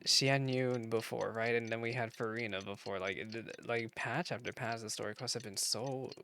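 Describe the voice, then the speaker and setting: A woman's voice: very deep voice, steady tone, moderate pace, fairly bright tone, a little hiss or hum. A young woman giving casual, gushing playthrough commentary on a game, chatty and full of slang. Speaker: gaming streamer reacting to a story quest.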